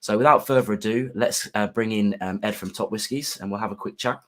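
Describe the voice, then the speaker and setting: A man with a sore, gravelly throat hosting a casual whisky livestream, friendly and full of ums and restarts. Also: fairly low pitch, brisk, a bit bright.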